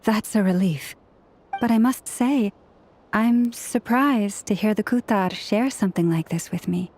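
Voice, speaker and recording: A woman voicing a composed, gently candid friend in an anime-style video game, speaking in a measured way. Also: medium pitch. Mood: relieved.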